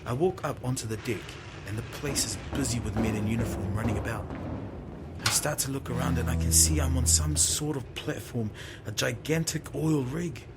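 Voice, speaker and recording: A man narrating an audio story in the first person with a theatrical, dramatic manner. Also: mid-range voice. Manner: theatrical, present-tense storytelling. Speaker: storyteller, voice performer. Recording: strong background noise.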